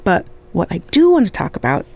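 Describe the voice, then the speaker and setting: Mellow-voiced young podcast co-host. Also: female voice, on the low side, wildly swooping pitch, fairly quick. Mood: eager. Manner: casual, conversational. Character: young female MMA-junkie podcast co-host, slightly exasperated. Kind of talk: video game cutscene acting as podcast chat.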